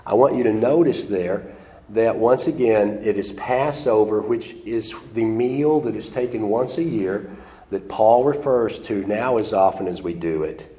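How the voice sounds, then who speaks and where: A man's voice: lowish voice, measured pace, dark tone, echoey room. A congested man with the flu teaching a sermon and reading scripture aloud in old-fashioned wording. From United States. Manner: reads scripture aloud in King James wording. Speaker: Bible teacher.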